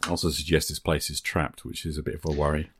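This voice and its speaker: A man's voice: extremely low pitch, flat delivery, fast, fairly bright tone, breathy edge, dry recording, studio quality. A man chatting casually as a player in an improvised tabletop roleplaying game, describing what his character does.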